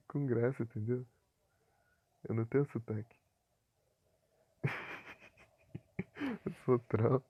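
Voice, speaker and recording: A man's voice: mid-range voice, no echo, highs cut off. A Brazilian man from Paraná streaming a game live, chatting casually with viewers and chuckling often.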